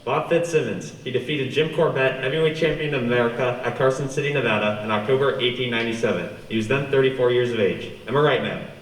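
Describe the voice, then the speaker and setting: A man's voice: medium pitch, natural voice. A man playing a cocky music hall memory-act performer in a radio play, rattling off facts like a showman. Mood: brisk, proud. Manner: showman-like, rapid recitation, cheeky. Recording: echoey room, distant mic.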